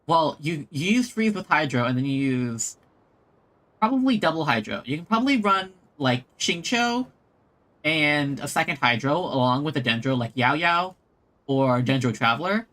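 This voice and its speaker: High-pitched, lively male game streamer. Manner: halting, thinking aloud. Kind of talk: casual chat while playing a game. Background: soft game music.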